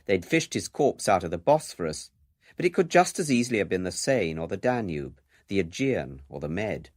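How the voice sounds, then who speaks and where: Lively, low-voiced British male documentary presenter. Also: normal speed, no echo, clean studio sound. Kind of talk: scripted radio documentary narration.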